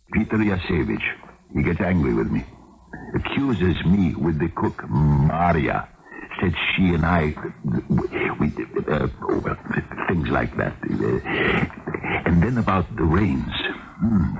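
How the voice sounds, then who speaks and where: A man's voice: low voice, lo-fi sound, full character voice. A man acting in an old radio drama as a shifty, hard-drinking Russian vagrant, grumbling and rambling, on a noisy old broadcast tape. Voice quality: gravelly. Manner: rambling, muttering, grumbling, swinging to outbursts.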